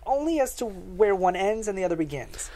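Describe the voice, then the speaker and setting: A woman reading the part of a sceptical, haunted psychologist in a script table read, measured and hesitant, on a headset mic in a studio. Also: mid-range voice, animated, warm tone, close to an everyday voice. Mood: matter-of-fact. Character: sceptical, haunted academic psychologist called Doctor Flynn. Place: small podcast studio.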